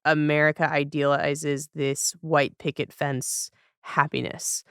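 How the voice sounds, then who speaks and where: A woman's voice: deep voice, flat delivery, slow pace, a bit bright, clear, resonant tone, no echo, studio quality. A woman hosting a podcast in a casual, chatty, informal way. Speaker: podcast host. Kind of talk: casual conversational podcast talk.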